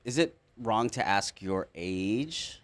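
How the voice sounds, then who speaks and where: Animated man hosting a casual podcast. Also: lowish voice, takes it slowly, no room sound. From Filipino background. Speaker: podcast host. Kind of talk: casual conversational interview.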